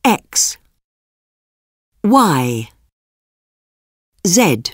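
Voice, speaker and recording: A woman with a low voice reads lesson announcements and letters very slowly and deliberately. Her pitch moves widely, and the studio sound is dry and close. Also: big pitch swings, husky, no echo. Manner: slow, deliberate and carefully spoken. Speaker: textbook audio announcer.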